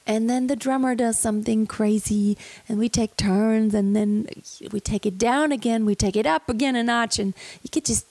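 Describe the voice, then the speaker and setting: Animated woman vocal coach, slightly rough voice. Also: on the high side, moderate pace, dry recording. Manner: animated and expressive, gesturing and switching between talk and soulful singing. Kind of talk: singing lesson with sung demonstrations. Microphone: studio mic on a boom stand in front of her.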